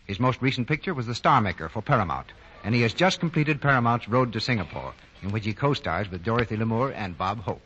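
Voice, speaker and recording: A man's voice: fairly low pitch, animated, fast talker, a bit bright, lo-fi sound. An American man reading scripted commercials and credits as a 1940s radio announcer. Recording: noisy, band-limited 1940 radio broadcast.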